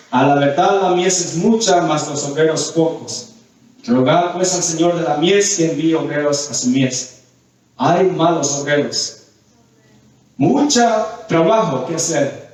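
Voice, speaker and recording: Male preacher, high-pitched voice, didactic sermon delivery with repeated phrases; very reverberant, distant-miked sound. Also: a little mellow. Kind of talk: sermon with scripture readings and questions to the congregation. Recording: very echoey hall, distant mic.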